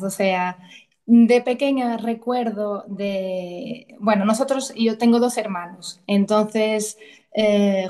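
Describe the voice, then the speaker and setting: A woman's voice: fairly high pitch, darkish tone. A woman in her thirties, originally from Portugal, speaking. She tells her story in a chatty, emotional way and often restarts her sentences, as a guest in a casual interview.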